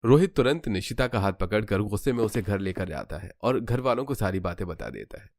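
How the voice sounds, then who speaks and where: A man's voice: fairly low pitch, lively intonation, a little fast, darkish tone, dry recording, clean studio sound. A man narrating a scripted story as a storyteller.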